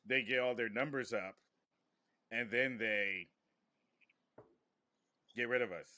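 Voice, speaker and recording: A man's voice: mid-range voice, slow, bright, forceful tone, no room sound. A man hosting a podcast, chatting casually and informally with some swearing. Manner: informal, profane. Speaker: podcast host.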